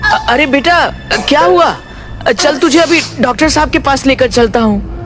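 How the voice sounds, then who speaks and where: A man playing a proud, caring village sweet-seller father in an animated moral story, speaking earnestly and emphatically. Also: very high voice, measured pace, over music. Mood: worried.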